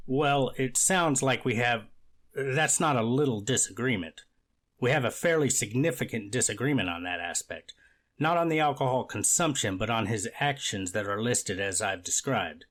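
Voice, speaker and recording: A man playing a deliberate, fact-minded judge in a courtroom readers theater, speaking in a formal, measured and slightly halting way. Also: medium pitch, lively intonation, a bit bright. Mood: measured, questioning. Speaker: judge reading and questioning counsel.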